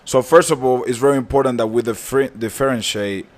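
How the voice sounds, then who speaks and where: A man's voice: medium pitch, brisk. A man in his twenties from the Dominican Republic, talking in an earnest, laid-back way as a podcast guest, often saying "bro" and "man". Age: 20s. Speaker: club owner guest. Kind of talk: casual podcast interview.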